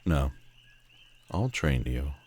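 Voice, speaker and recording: A man's voice: rumbling low, very animated, a little mellow, husky, heavily acted. A man playing a proud, sardonic telepathic villain with secret loneliness, speaking haughtily and dramatically in a roleplay audio drama. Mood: teasing, confident. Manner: haughty, dramatic, drawn-out emphatic phrasing.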